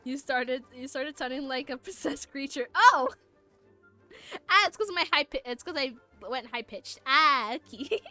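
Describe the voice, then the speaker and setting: A woman's voice: high voice, lively intonation, normal speed, sharp tone. A woman livestreaming a game in casual chat, playful and easily flustered, stammering through banter. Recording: music playing behind the voice. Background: music.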